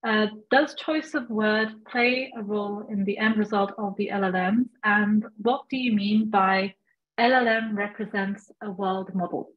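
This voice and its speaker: A young woman with a medium-pitched voice hosts an online Q&A. She talks informally as she relays questions, and the call audio is slightly muffled and lo-fi. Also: steady tone, a little slow. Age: young adult. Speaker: webinar moderator. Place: indoors, on a video call. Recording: dull, lo-fi video call audio.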